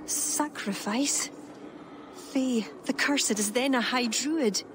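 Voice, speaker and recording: A woman's voice: fairly high pitch, takes it slowly, noisy background. An actress playing an earnest, worried young woman in a sombre video game drama. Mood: concerned. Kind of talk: video game cutscene acting.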